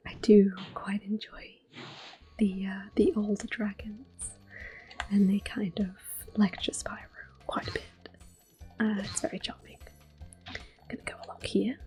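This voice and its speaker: Female gameplay host, ASMR: unhurried, soft-spoken to whispered, gentle, close-miked over faint music. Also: medium pitch, flat delivery. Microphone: close microphone. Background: soft game music.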